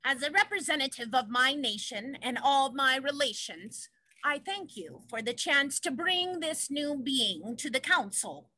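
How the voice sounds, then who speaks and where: A woman's voice: sits high, big pitch swings, a little slow, bright, forceful tone, exaggerated voice, low-quality recording. A woman playing a proud, exasperated condor, emphatic and declaiming, in a play reading over a video call. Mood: formal. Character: proud, exasperated condor, a huge flying bird. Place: room at home.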